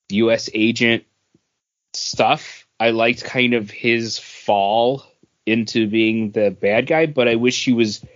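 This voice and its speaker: Casting: male podcast co-host, mid-pitched, very unhurried delivery, informal review talk, dull sound. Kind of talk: casual movie and TV review chat. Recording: muffled, dull voice-call audio.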